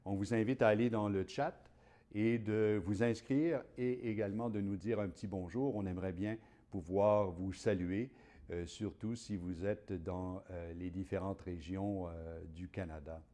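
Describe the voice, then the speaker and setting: Un homme qui anime un webinaire en studio, chaleureux et hésitant, avec beaucoup de « euh ». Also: male voice, low-pitched, fairly even intonation, a little slow, warm tone. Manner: friendly, many fillers. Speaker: webinar host. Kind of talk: hosting a live webinar.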